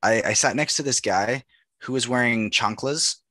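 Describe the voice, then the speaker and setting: A man's voice: medium pitch, moderate pace, pushed and bright, no echo. Young man, casual podcast chat, rambling stories, dry close sound. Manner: rambling storyteller, joking, with frequent fillers. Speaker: podcast co-host.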